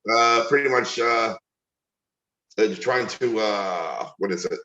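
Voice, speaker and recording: A deep-voiced man speaks very slowly on a video call, hesitant and searching for his words. His tone is bright and tense, and the room around him sounds echoey. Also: highs cut off. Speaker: coaching participant.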